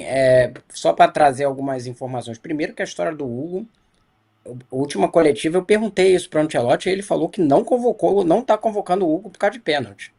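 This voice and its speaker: A man's voice: a little high, darkish tone. Critical Brazilian sports journalist, male. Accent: Brazilian Portuguese. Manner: analytical, critical, frequent tag questions. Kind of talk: studio debate analysis.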